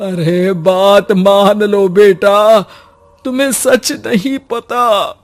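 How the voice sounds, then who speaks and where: A worried village elder in a cartoon tale, voiced by a woman. The delivery is emphatic and pleading, slow-paced and superstitious in temper, over music. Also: mid-range voice, fairly even intonation.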